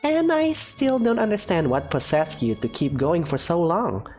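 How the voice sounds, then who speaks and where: A woman's voice: fairly low pitch, huge pitch swings, normal speed, darkish tone, soft background music. A woman voicing a prim, studious young female student who speaks formally and precisely.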